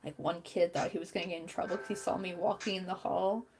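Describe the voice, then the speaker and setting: Young woman with a gravelly edge. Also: on the low side, fairly even intonation, quick pace, background noise. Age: young adult. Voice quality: young-sounding voice. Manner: chatty, talks to herself while working, mutters frustrations. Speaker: crochet channel host. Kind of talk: casual chat while crafting. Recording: music playing underneath.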